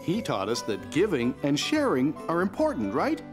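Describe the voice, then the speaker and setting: A gentle, animated cartoon dad. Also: male voice, on the high side, normal speed. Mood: warm. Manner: expressive, gentle storytelling. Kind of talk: preschool cartoon acting, storybook reading. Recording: music behind the voice.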